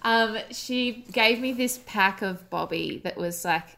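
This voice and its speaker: A woman's voice: on the high side, slow, vocal fry, a bit bright. A woman, a comedy writer and performer, chatting as a guest in a rambling, self-mocking way and laughing often. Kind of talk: casual chat.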